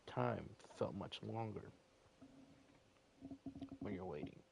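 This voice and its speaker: A man reading a visual novel aloud as a gaming video narrator, halting and stumbling with muttered asides. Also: on the low side, lively intonation, creaky, noisy background.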